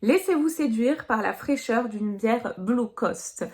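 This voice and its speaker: A high-pitched woman from Nice, France, talking through an unboxing in a casual, chatty way. She sounds upbeat and leans on fillers as she goes from item to item. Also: expressive, slow, a little mellow. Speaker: box reviewer. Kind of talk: casual product review.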